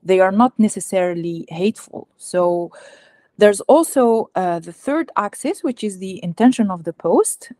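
A young woman whose first language is Algerian Arabic gives an academic research talk over a video call, pausing often with fillers and restarts. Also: medium pitch, animated, dark tone, dry recording. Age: young adult. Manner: hesitant, many fillers and restarts. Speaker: guest speaker presenting research. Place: home room, indoors. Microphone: video call webcam.

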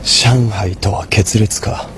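An actor playing a composed, commanding young mafia lord in an audio drama, terse and resolute. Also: male voice, lowish voice, fairly even intonation, slow, noisy background. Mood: cool command. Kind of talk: Japanese drama CD acting.

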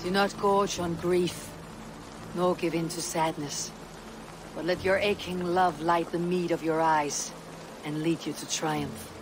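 A battle-hardened Viking warrior woman from a video game, acted by a woman. She speaks with solemn, measured authority and a husky edge, as if reciting verse. Faint noise sits behind her. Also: on the low side, fairly even intonation, lightly acted. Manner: measured, declamatory, grave. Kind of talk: dramatic video game acting.

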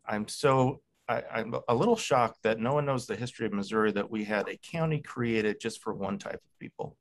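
A middle-aged man explaining history in a halting, hesitant way, often repeating words as he goes. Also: fairly low pitch, vocal fry. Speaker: historian guest. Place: small home office, indoors. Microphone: video call computer mic.

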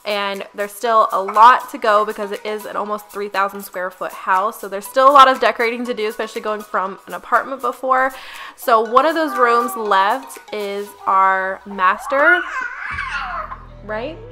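A woman's voice: medium pitch, moderate pace, music in the background. A young woman talking casually over her cleaning footage, chatty and upbeat, speaking straight to her viewers. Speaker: lifestyle vlogger.

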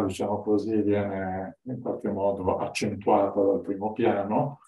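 Low-voiced older man, distant and roomy. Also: mellow. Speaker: guest lecturer. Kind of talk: informal lecture presentation. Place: indoor classroom with whiteboard.

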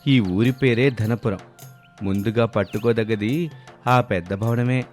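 A man's voice: lowish voice, unhurried, a little mellow, lightly acted. A man playing a boastful, persuasive swindler who poses as a heavenly weaver in a storybook tale. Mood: scheming. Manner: boastful, persuasive salesman talk. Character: smooth-talking male swindler posing as heavenly weaver. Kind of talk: scripted story dialogue. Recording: background music behind the voice.

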